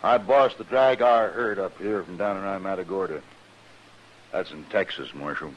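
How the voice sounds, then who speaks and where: An actor playing a gruff, aggrieved Texas trail boss in an old-time radio western, blunt and challenging, with folksy cowboy grammar. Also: male voice, deep voice, normal speed, close to an everyday voice. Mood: hostile. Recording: dull, hissy old radio recording.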